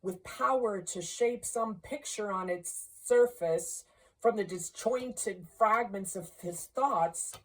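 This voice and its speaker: A woman narrating a classic storybook in a deliberate, expressive way, pausing between short phrases. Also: mid-range voice, rough recording. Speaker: amateur audiobook reader.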